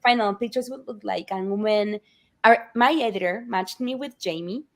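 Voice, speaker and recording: A woman from Argentina, telling the story of her book as an interview guest with animated, earnest warmth. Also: medium pitch. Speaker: author guest. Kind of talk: conversational interview.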